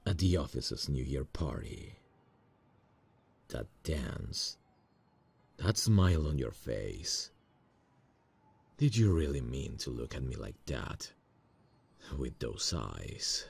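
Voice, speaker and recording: A man playing a nervous, lovestruck boss in an intimate romantic ASMR roleplay, speaking hesitantly and tenderly in a husky voice. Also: big pitch swings, heavily acted. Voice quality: very deep, gravelly, husky. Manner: hesitant, slow, soft-hearted. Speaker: voice actor in romantic audio roleplay.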